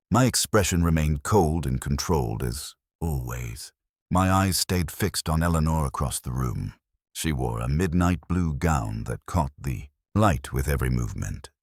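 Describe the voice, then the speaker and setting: A man narrating a scripted first-person story in a measured, dramatic storytelling manner. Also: very deep voice, big pitch swings, husky, no room sound, clean studio sound.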